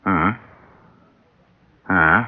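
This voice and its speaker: A man's voice: low voice, slow. An actor playing an earnest, reassuring and authoritative male surgeon in an old-time radio drama. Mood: brisk. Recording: muffled vintage radio recording.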